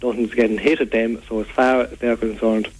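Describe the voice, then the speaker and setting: Irish male, Waterford accent, acting as a fed-up local phoning in to radio, earnest, repetitive, mid-pitched. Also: level tone, fairly quick, a little mellow, husky, close to an everyday voice. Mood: indignant. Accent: Irish, County Waterford. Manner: rambling, repetitive, earnest complaining. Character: aggrieved, rambling local man fed up with food-throwing youths. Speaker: radio phone-in caller. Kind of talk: prank call to a local radio show. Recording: phone call on local radio.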